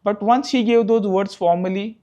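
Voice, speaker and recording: A man's voice: sits high, steady tone, normal speed, warm tone. A young Indian man in his twenties whose first language is Marathi, speaking Indian English as a professor answering interview questions, checking in with "okay" after his points. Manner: explanatory, says "okay" often after points. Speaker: guest, professor.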